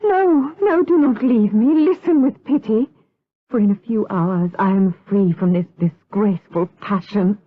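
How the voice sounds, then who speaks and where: Woman acting a breathy, slow-speaking gothic seductress. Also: medium pitch, close to an everyday voice. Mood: impassioned pleading. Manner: melodramatic, slow, intense, formal archaic diction. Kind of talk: gothic radio drama acting. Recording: lo-fi, tinny 1980s audio drama recording.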